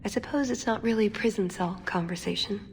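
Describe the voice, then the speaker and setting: A woman's voice: medium pitch, a little fast, a little mellow, soft background music, natural voice. A woman playing a wry, earnest young elven agent in a video game. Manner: flippant and wry, turning earnest.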